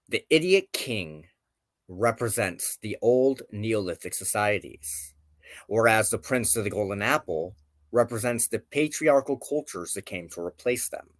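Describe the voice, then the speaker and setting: A man gives thoughtful, deliberate commentary as the host of a folklore show. His delivery is slow, with emphatic phrasing and a lively, wide-moving melody. The recording is dry, with very little room sound. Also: mid-range voice, no echo. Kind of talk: reflective commentary on folklore and myth.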